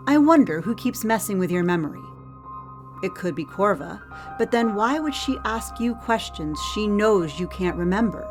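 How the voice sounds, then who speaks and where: A woman's voice: mid-range voice, close to an everyday voice. A woman acting in an audio drama as a hard-edged, unflappable head of security who speaks in pointed, sardonic questions.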